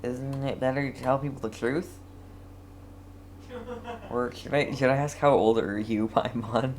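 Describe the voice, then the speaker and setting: A man's voice: mid-range voice, steady tone, a little slow, darkish tone, a little hiss or hum. A man streaming a game, giving casual, laid-back commentary with dry remarks.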